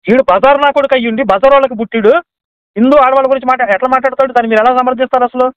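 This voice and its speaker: A man in a heated phone argument, aggressive, abusive and quick to interrupt, on a lo-fi call recording. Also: very high-pitched, steady tone, smooth, dry recording. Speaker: caller in a heated phone debate.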